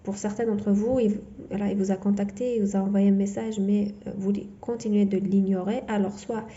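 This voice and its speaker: Gravelly, dark-voiced woman tarot reader. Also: medium pitch, fairly even intonation, lo-fi sound. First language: not French. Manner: halting, many fillers and restarts. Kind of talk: tarot reading to camera.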